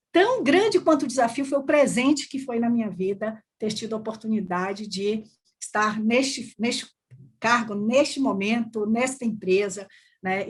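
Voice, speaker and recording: A woman's voice: mid-range voice, slightly rough. A middle-aged Brazilian woman with a Bahian accent, talking effusively and discursively as a guest in a conversational interview. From Salvador, Bahia, Brazil. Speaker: podcast guest, corporate HR executive.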